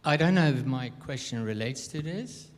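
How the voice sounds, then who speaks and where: A man's voice: medium pitch, expressive, moderate pace. A man in the audience telling a childhood school story as his question. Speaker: audience member. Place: church hall, handheld mic. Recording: echoey hall.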